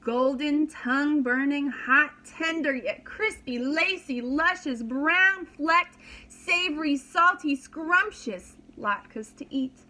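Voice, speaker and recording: A woman's voice: sits high, slow, bright, forceful tone, poor audio. A woman reading a children's story aloud as a preschool teacher, dramatically and expressively, with simple explanations for young listeners.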